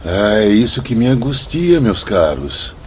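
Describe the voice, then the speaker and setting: A man's voice: lowish voice, very animated, slow pace, a little mellow. An actor with a Brazilian accent playing an earnest, self-doubting, sorrowful male spirit in a radio drama. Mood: wistful anguish. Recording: muffled lo-fi track, ambient noise.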